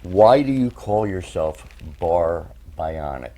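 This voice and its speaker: Host, man, sixties, deep and warm, playful joker. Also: lively intonation, slow. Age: 60s. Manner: jokey, playful. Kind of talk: casual interview.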